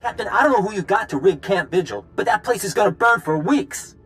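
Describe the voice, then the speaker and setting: A man's voice: high voice, lively intonation, brisk, fairly bright tone, faint music behind. An actor playing an eager, upbeat and cocky male resistance fighter in a video game. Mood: excited briefing.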